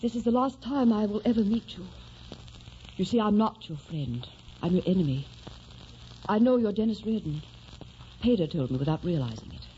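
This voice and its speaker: A woman's voice: mid-range voice, animated, moderate pace, pauses often, mellow, slight background noise, close to an everyday voice. An actress playing a tender, sorrowfully resigned young woman in love, in earnest, restrained old-time radio melodrama. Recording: dull vintage radio recording with music.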